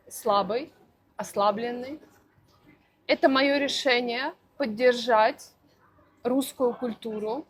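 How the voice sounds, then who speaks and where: A woman language teacher answering interview questions for learners, speaking slowly and deliberately with careful articulation. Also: fairly high pitch, rough recording. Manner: slow, deliberate, clearly articulated.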